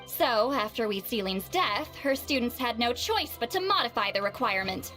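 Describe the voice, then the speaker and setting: A woman's voice: fairly high pitch, lively intonation, normal speed, pushed and bright. A woman voicing a proud, ancient shaman in a video game, haughty and dryly sarcastic. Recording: game cutscene with faint music.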